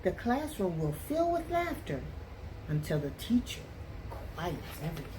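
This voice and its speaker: A woman reading a picture book aloud as a teacher does for young students, with deliberate, expressive phrasing. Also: on the low side, background noise. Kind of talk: expressive read-aloud storytelling for children.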